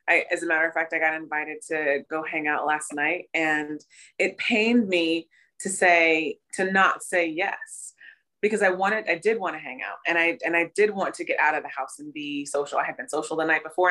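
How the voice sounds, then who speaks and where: A woman co-hosting a casual podcast chat, thinking aloud with restarts, her voice slightly raspy today. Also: low voice, pushed and bright. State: raspy voice from cheering. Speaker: podcast co-host.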